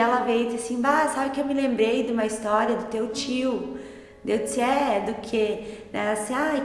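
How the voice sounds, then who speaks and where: A woman in her thirties with a southern Brazilian accent, telling things casually as a student interviewee. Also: on the high side. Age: 30s. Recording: echoey hall, music underneath.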